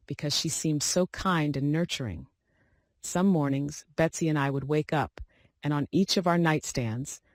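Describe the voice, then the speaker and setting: A man's voice: on the high side, no room sound, clean studio sound. A man narrating short spooky stories from a script.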